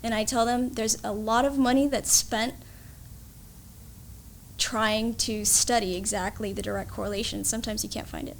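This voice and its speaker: A woman's voice: fairly high pitch, steady tone, normal speed, gravelly, a little hiss or hum. A woman explaining her field as a guest expert in an interview, in an instructive, matter-of-fact way.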